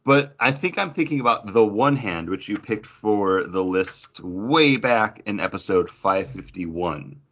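Podcast co-host, male, deep voice, rambling casual talk, dull lo-fi sound. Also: expressive, a little slow, hardly any pauses, fairly bright tone. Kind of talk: casual chat about comic books.

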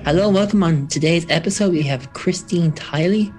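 A man's voice: fairly high pitch, a little fast, over loud music. A man hosting a podcast interview in a casual, conversational way. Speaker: podcast host.

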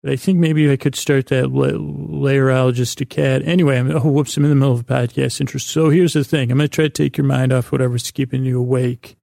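Male bedtime podcast host: creaky, mellow delivery, halting and rambling, soothing. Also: mid-range voice, steady tone, no room sound, studio quality. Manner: meandering, halting, stumbling over words. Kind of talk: rambling bedtime-story sleep podcast monologue.

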